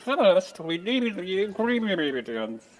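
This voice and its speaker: Self-important tv chef, really slow grandiose delivery, swoopy pitch, comedy cookery bit. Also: male voice, big pitch swings, heavily acted. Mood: grandiose, deliberate. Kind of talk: mock cookery demonstration in audio comedy.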